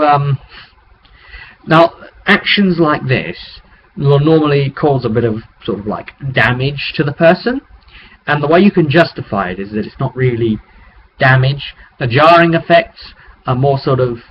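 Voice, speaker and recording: A man's voice: medium pitch, unhurried. A man talking as a game master, recapping a tabletop roleplay session in a rambling, conversational storytelling way on a webcam microphone. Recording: dull webcam audio with background noise.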